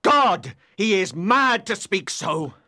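A man's voice: fairly high pitch, animated, measured pace, a bit bright, no room sound, studio quality, pitched above the performer's own voice. A man voicing a fierce, brooding master of the house, harsh and commanding in tone. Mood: furious.

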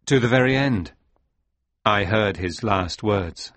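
A man playing a sombre, reflective sailor recounting his past in a dramatised audiobook, speaking in a measured, grave way. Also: on the low side, a bit bright, no room sound. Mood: subdued, grave.

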